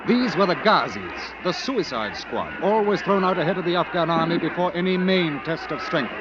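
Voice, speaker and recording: A man's voice: a little high, animated, normal speed, very noisy, natural voice. A man acting as the grave, dramatic narrator of a war tale in 1940s radio style. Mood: urgent, intense. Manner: dramatic, portentous. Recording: dull vintage radio recording over music.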